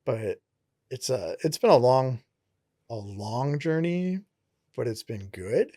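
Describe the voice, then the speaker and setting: Earnest pastor with a Connecticut accent. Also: male voice, mid-range voice, animated, slow pace, mellow, no room sound, studio quality. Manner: earnest, reflective storytelling. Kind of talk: casual interview talk.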